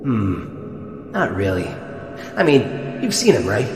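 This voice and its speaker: A man plays a sardonic mutant sea creature held captive. His delivery is theatrical and drawling, swinging widely in pitch. It echoes in long reverb over music, in the manner of a comic dub. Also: big pitch swings, slow pace. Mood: subdued, wry. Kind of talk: fan comic dub acting.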